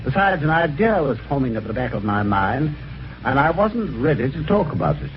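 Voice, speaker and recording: A man's voice: medium pitch, very animated, brisk, slight background noise, close to an everyday voice. An actor playing a well-spoken British amateur sleuth, telling his story conversationally in a radio mystery. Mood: measured storytelling. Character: genteel British amateur sleuth recounting his eerie adventure. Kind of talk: 1950s radio mystery acting, first-person narration. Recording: dull old radio recording, music behind.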